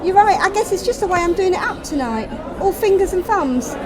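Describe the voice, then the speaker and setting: A woman voicing a chatty, deferential theatre dresser in an animated short-story reading. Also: very high-pitched, fairly quick, pitched above the performer's own voice. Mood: apologetic, flustered. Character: chatty, over-eager young female theatre dresser, apologetic. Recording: loud crowd noise in public room.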